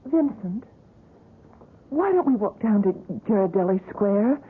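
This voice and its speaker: A woman's voice: mid-range voice, animated, measured pace, breathy edge. An actress playing an earnest young woman in love in a 1970s radio drama, speaking in a pleading way. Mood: recalling. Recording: tinny 1970s radio broadcast.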